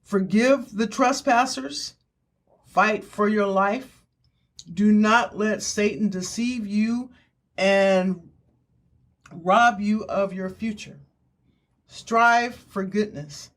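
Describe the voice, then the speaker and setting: A woman's voice: medium pitch, very slow, long pauses. An older woman giving an earnest spoken testimony in short, emphatic, preacherly phrases. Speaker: author giving her testimony.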